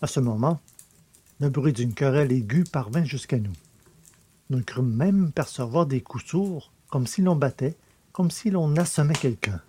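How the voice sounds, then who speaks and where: A man reading scripted literary narration as an audiobook narrator, with a mellow, slightly husky voice. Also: mid-range voice, a little slow.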